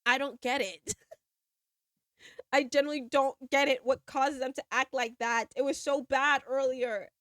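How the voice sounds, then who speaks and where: A woman's voice: high voice, steady tone, a little slow, fairly bright tone, no room sound, clean studio sound. A young woman streamer with a stuffy cold nose, bubbly and giggly as she chats and reads a game story aloud. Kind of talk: casual chat and reading a visual novel aloud.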